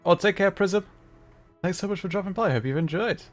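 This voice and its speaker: A man's voice: high voice, fairly quick. Easygoing male streamer over faint music. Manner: easygoing, thinking aloud. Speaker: livestreamer. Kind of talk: casual game stream commentary.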